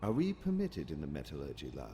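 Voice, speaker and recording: Very deep, measured alien officer. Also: male voice, huge pitch swings, noisy background, full character voice. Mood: calm, calculating. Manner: measured, formal, precise. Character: calm alien Chiss officer, calculating and formal. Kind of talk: audio comic drama acting. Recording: long reverb, music behind.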